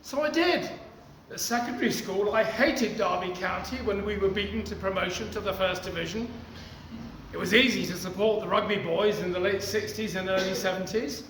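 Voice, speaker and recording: A man in his sixties with a posh English accent, reading his poetry outdoors in an animated, storytelling way. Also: sits high, normal speed, roomy sound, a little hiss or hum, low-quality recording. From East Africa. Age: 60s. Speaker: poet. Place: outdoors outside a cafe.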